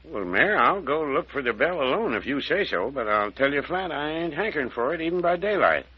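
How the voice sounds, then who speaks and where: An actor playing a folksy, placating country doctor with a rural American accent in an old-time radio mystery. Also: male voice, a little high, big pitch swings, fairly quick, slightly rough, no room sound. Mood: uneasy, grumbling. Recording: dull, muffled vintage radio recording.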